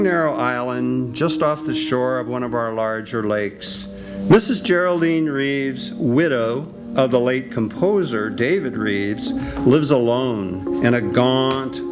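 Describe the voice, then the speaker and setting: A man narrating an old-time radio mystery with wry, measured storytelling. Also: mid-range voice. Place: senior center stage.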